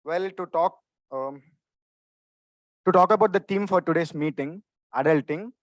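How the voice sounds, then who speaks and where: A man's voice: a little high, a little slow, no echo. A young man hosting a student club meeting, casually welcoming guests onto the stage with friendly encouragement. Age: young adult. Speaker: meeting host.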